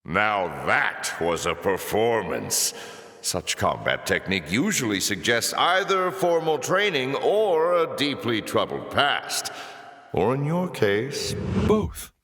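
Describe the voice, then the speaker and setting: An actor playing a suave, sardonic demon lord in a video game, theatrical and sly, with mock courtesy. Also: male voice, mid-range voice, sing-song, takes it slowly, fairly bright tone, exaggerated voice. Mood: taunting, playful. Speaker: arena master taunting the player. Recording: echoey hall reverb.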